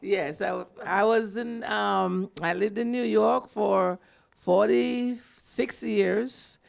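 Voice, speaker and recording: A woman's voice: mid-range voice, fairly even intonation, slow pace, vocal fry, no room sound. A woman in her seventies with a Jamaican accent, introducing herself informally. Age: 70s. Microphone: handheld microphone.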